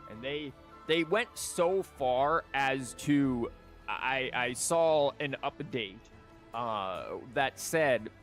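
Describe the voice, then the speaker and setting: A man's voice: mid-range voice, expressive, very slow, a bit bright. Male streamer, casual game commentary, wry and rambling, light music underneath.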